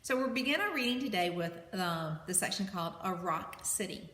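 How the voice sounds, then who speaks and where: A woman's voice: mid-range voice, expressive, brisk, fairly bright tone. Echoey woman teacher reading aloud. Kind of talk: read-aloud lesson from a children's nonfiction book. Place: indoor room with heating running. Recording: echoey, reverberant room with background hum. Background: heater noise.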